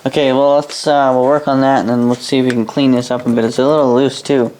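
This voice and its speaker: A man's voice: mid-range voice, little pitch movement, fast talker, darkish tone, rough recording. A man giving casual hands-on commentary while tinkering, muttering to himself and trailing off. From Canada. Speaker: hobbyist radio repairer. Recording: home workshop noise, radio playing nearby.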